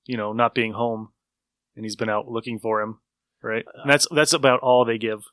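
Casting: animated male podcast host, bright forward voice, dry close sound. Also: mid-range voice, moderate pace, no echo. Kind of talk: casual chat.

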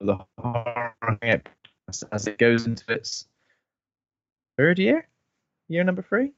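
A man's voice: mid-range voice, very animated, measured pace, lo-fi sound, soft background music. A man co-hosting a podcast, a bit sniffly, jokey and rambling. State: sniffly, stuffed-up. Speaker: podcast co-host. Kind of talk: casual chat.

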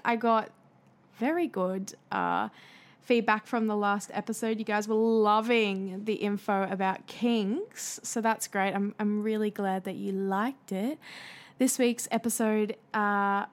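Woman host chatting on her own podcast, bit raspy from belting songs, lots of ums and likes. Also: a little high. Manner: chatty and confiding, with fillers. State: raspy from singing. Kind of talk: casual solo podcast chat.

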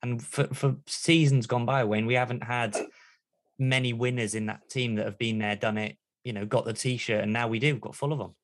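Brief: male co-host, medium pitch, enthusiastic casual talk. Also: steady tone, normal speed. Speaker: podcast co-host. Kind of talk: casual podcast chat.

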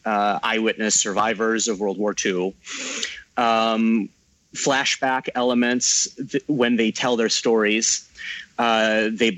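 A man speaking as an interview guest, explaining at length in a measured way with frequent fillers. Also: mid-range voice, a bit bright, a little hiss or hum, poor audio. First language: English. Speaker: game translator guest. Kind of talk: casual interview conversation.

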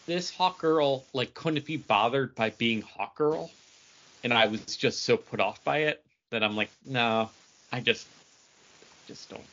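A man's voice: mid-range voice, unhurried, a bit bright, dull audio. A man co-hosting a podcast with casual, emphatic and opinionated commentary. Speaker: podcast co-host.

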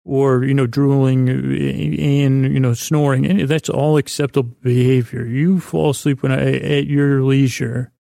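Creaky-voiced, meandering man, mellow and dry. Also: mid-range voice, level tone, no room sound. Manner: meandering, halting, stumbling over words. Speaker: podcast host. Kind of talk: rambling bedtime-story sleep podcast monologue.

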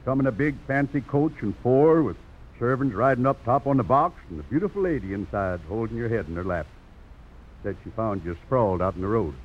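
An actor playing a wry, folksy older country doctor for horses in a 1950s radio drama. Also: male voice, mid-range voice, expressive, a little fast, natural voice. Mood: chatty, folksy. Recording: dull old radio broadcast, faint hiss.

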